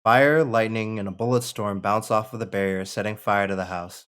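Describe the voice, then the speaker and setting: A low-pitched American man runs a script table read. He narrates and talks casually, like a writer sharing his own work with friends. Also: fairly even intonation, normal speed, fairly bright tone, smooth. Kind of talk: casual reading of screenplay action and post-read chat.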